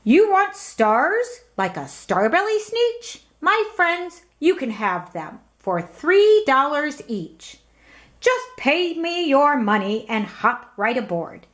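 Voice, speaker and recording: Woman playing a sly, lively storybook salesman. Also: high voice, takes it slowly, full character voice. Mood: enticing. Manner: persuasive, showy sales patter. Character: sly, keen fast-talking male salesman in a children's storybook.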